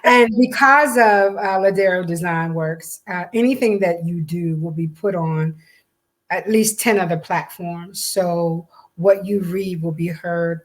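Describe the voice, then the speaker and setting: A woman's voice: on the low side, unhurried, poor audio. An American woman co-hosting a casual promotional chat, speaking conversationally and repeating phrases for emphasis. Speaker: co-host and event organizer.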